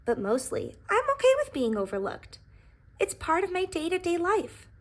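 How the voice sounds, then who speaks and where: A woman's voice: very high voice, wildly swooping pitch, moderate pace, voice pushed up, exaggerated voice. A woman voicing a humble, self-effacing male pie from a picture book, in a bashful, earnest way. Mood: modest, earnest.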